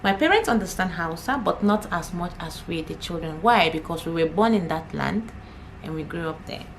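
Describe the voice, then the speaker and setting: A woman's voice: fairly low pitch, expressive, normal speed, slight background noise, poor audio. A young Nigerian woman, an Igbo speaker, chatting casually to camera as a vlogger, laughing often and repeating herself.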